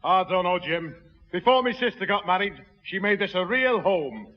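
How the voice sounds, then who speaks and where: A pompous, jovial Northern English working man, high in pitch, blusters through grand words he gets wrong. It is sixties radio comedy, muffled and ringing with long reverb. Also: animated, moderate pace. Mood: jovial, boastful. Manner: blustering, malapropism-prone. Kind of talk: 1960s radio comedy acting. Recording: muffled, echoing, noisy old radio broadcast.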